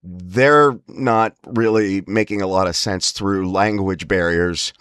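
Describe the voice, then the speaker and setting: A man hosts with a casual, rambling monologue in a deep voice. His speech is strained and broken by spasms, yet wry and emphatic. The sound is close and dry, free of room echo. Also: slow pace, a bit bright, smooth. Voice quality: spasmodic, strained breaks from spasmodic dysphonia. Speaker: host of a lifestyle series about a voice disorder.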